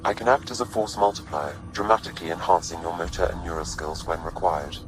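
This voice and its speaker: An actor in a video game playing a courteous sentient artificial intelligence that speaks in a measured, formal, precise way. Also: male voice, deep voice, over music, lightly acted, low-quality recording. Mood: calm, informative.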